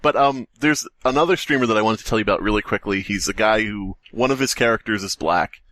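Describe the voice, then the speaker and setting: A man's voice: fairly low pitch, quick pace, fairly bright tone, no room sound. A man co-hosting a podcast, chatting casually as a rambling, sardonic storyteller who likes to joke. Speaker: podcast co-host. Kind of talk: casual comedic podcast chat.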